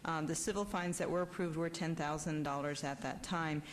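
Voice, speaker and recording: Low-voiced woman giving a staff report. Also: steady tone, slight background noise. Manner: measured, official, brisk. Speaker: deputy planning director. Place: city council chamber.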